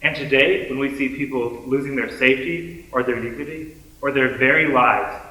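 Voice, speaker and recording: A man reciting a scripted theater piece in a deliberate, declamatory manner, as a student performer. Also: mid-range voice, steady tone, sharp tone. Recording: echoey hall, distant microphone.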